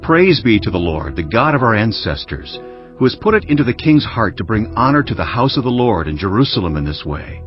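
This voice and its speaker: Male Bible narrator, low register, very animated intonation, solemn dramatized delivery over music. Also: fairly quick. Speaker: scripture narrator. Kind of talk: dramatized Bible narration, first-person scribe's account. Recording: dull lo-fi audio with music bed. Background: background music.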